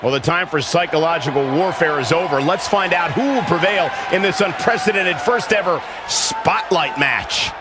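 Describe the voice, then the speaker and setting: A man's voice: a little high, moderate pace, natural voice. A man playing an earnest play-by-play wrestling announcer in a video game, with dramatic, projected delivery. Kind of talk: sports commentary. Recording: roaring arena crowd, heavy noise.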